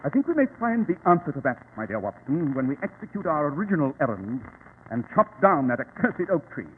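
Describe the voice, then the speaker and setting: Brilliant detective for vintage radio drama: coolly authoritative, lively swooping pitch, formal diction, tinny old broadcast sound. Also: male voice, fairly quick. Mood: grim, dry. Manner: precise, formal, didactic explaining. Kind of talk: 1940s radio drama acting. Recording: tinny, hissy 1940s radio recording.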